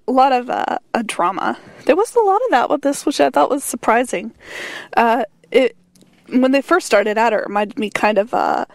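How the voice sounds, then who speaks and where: A woman's voice: fairly high pitch, no room sound. A woman with a head cold and a stuffed-up voice, leaving a rambling, giggly voicemail full of fillers. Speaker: voicemail caller. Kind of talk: casual voicemail message.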